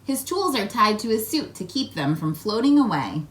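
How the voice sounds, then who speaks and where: A woman reading a children's picture book aloud in a playful, expressive way, prompting young listeners with questions. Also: fairly high pitch, moderate pace. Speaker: children's read-aloud host.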